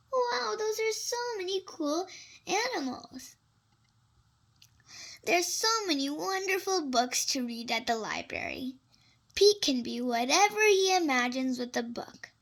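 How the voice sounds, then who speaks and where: A very high-pitched voice reads a children's storybook aloud at a slow, careful pace. The delivery is animated and expressive, with a creaky edge and little asides to young listeners. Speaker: storybook reader.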